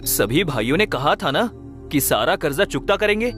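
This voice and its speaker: A man's voice: a little high, sing-song, moderate pace, a bit bright. A man dubbing an earnest, devoted young son who trusts his older brothers. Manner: earnest, hurt. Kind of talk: Hindi film dubbing. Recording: film soundtrack with music.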